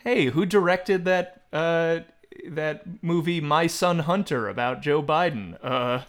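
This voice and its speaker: Higher-pitched man hosting a comic film quiz. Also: slow, fairly bright tone. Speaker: podcast quiz host. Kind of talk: comic film trivia banter.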